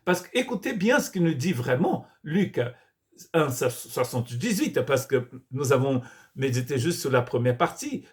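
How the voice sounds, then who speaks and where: A man from Brazil, a pastor, preaching a sermon in earnest, often restarting and repeating words. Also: mid-range voice. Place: church.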